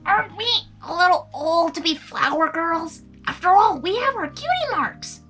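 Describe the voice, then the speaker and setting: A woman's voice: very high-pitched, lively intonation, normal speed, fairly bright tone, soft background music, voice pushed up. A woman voicing a skeptical, tomboyish little filly pony in a storybook reading. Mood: doubtful.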